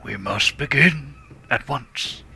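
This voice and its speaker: A man voicing a wise old male fire dragon mentor, telling the legend in a solemn, measured way, with music underneath. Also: medium pitch, vocal fry, sharp tone, a little hiss or hum, full character voice. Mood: grave. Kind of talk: dramatic script reading.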